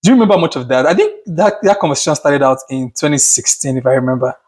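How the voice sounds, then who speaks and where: A man from Nigeria with a Nigerian accent, co-hosting a family channel in a relaxed chat and repeating words as he starts a thought. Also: a little high, fast talker. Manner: chatty, laughs easily, repeats words when starting a thought. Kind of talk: casual chat.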